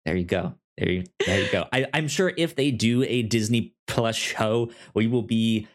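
A man co-hosting a podcast, chatting casually about films in a halting, repetitive, enthusiastic fan's way. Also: on the low side. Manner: halting, repetitive, enthusiastic self-described nerd. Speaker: podcast co-host. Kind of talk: casual film discussion chat.

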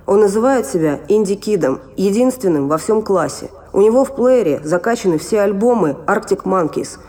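A man's voice: high-pitched, expressive, normal speed, darkish tone. A man, an actor, narrating everyday city life in an audio play. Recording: long reverb, echoing space.

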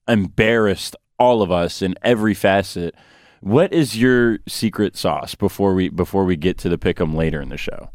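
Young male sports radio host. Low, clean voice, close and dry, chatty delivery. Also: no room sound. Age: young adult. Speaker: sports talk show host. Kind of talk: casual sports radio talk.

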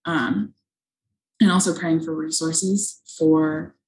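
Low-voiced young woman, earnest. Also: steady tone, slow, a little mellow, roomy sound, slight background noise, low-quality recording. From United States. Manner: earnest, thoughtful, with frequent ums. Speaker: missionary. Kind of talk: podcast interview answers.

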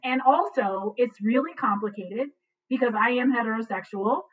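A woman's voice: on the high side, normal speed, distant mic, a little hiss or hum. A woman in her forties, an adoptee and writer, speaking earnestly in a panel conversation and often adding "you know" and "right". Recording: tinny phone-like line.

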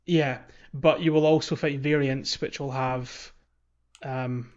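A man's voice: medium pitch, steady tone, slow pace. A man co-hosting a casual gaming podcast, explaining things agreeably on a slightly dull recording. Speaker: podcast co-host.

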